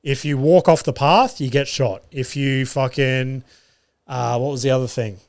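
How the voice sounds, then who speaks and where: A man's voice: mid-range voice, steady tone, normal speed. Casual sweary man. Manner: loose and sweary, laughs at his own jokes. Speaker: podcast host and comedian. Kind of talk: casual solo podcast storytelling. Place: studio.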